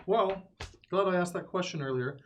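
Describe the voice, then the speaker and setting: A man's voice: high voice, moderate pace, a bit bright, highs cut off, slight background noise. A man playing a tabletop game, talking casually with the others at the table.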